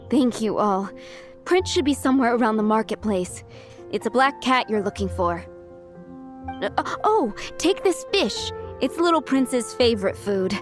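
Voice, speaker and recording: A woman's voice: a little high, expressive, measured pace, faint music behind. A woman voicing a friendly, easygoing tavern keeper in a video game.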